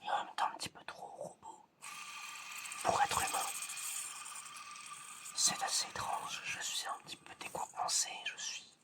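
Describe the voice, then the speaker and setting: An ASMR performer playing an eccentric examiner in a whispered roleplay, speaking slowly and hesitantly and repeating phrases. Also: bright, forceful tone. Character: eccentric examiner testing whether the listener is human.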